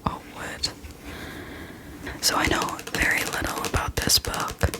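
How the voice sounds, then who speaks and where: A woman hosting a casual whispered ASMR book chat, speaking in a soft, breathy whisper with a hesitant, self-deprecating manner. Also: measured pace. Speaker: book video host.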